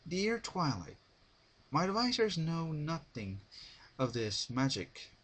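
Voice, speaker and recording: A man's voice: on the high side, big pitch swings, slow pace, fairly bright tone, heavily acted. Man reading as a dignified female pony ruler. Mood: grave, concerned.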